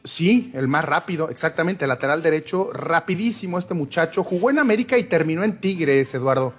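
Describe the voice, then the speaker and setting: Male sports host, higher voice. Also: animated, a little fast, soft background music. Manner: hesitant, many fillers and self-corrections. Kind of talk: casual sports talk, reading news.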